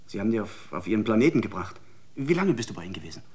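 An actor playing a desperate, insistent idealist in a radio drama, pressing in an urgent, probing way. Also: male voice, on the low side, big pitch swings, rapid-fire, darkish tone. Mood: urgent questioning. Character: desperate, insistent male questioner, idealistic and pleading. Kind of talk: German radio drama dialogue.

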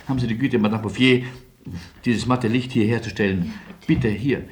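An actor playing a nervous, eagerly optimistic émigré gentleman in a radio play, with ceremonious, earnest and emphatic speech. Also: medium pitch, steady tone, normal speed, natural voice. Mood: earnest, polite. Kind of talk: German radio play acting.

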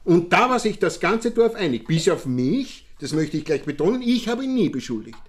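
An actor playing a genial, self-satisfied count with an Austrian accent, courtly, chummy and long-winded in a radio drama. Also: male voice, high-pitched, sing-song, normal speed. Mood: wistful, defensive. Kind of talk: German-language radio drama acting.

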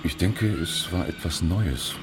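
An actor playing a fisherman eyewitness in a radio drama, giving plain, measured testimony. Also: deep voice, lively intonation, over music. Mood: measured recounting.

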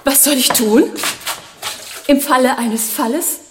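An actress playing an outspoken, anxious but tender wife in a radio drama, with an expressive, emotional delivery. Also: female voice, high voice, unhurried. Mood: alarmed. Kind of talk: German radio drama acting. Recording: roomy, distant, slightly noisy radio play.

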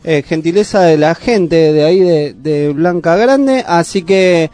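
A high-voiced Argentine man hosting a local radio show. His tone is mellow and dry, with little room sound and soft music under him. He talks in a chatty, teasing way. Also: measured pace, no room sound. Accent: Argentine Spanish. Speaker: radio host. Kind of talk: casual radio chat. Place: radio studio.

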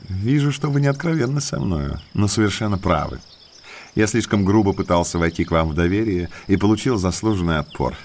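Casting: a courteous, deliberate naturalist and moorland guide, deep register, enigmatic air, audiobook drama. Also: male voice, animated, slight background noise. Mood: courteous, insistent. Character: well-mannered male naturalist, eager guide to eerie moors. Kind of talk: Russian audiobook drama acting.

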